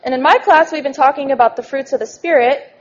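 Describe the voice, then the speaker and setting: A woman's voice: high voice, fast talker. A woman teacher presenting her young children's church class, plain and encouraging. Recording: muffled recording, faint noise.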